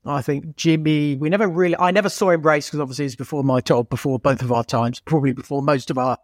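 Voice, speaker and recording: A man's voice: a little high, steady tone, quick pace, dry recording, clean studio sound. A man co-hosting a casual, conversational chat about motorsport. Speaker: podcast co-host.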